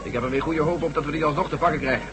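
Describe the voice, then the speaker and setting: A man doing a brisk, blunt army general. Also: a little high, music in the background, natural voice. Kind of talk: 1970s radio drama acting.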